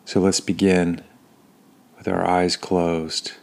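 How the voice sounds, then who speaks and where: A man leading a guided meditation in a calm, soothing manner, pausing between short phrases. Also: low-pitched, creaky. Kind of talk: guided body scan meditation.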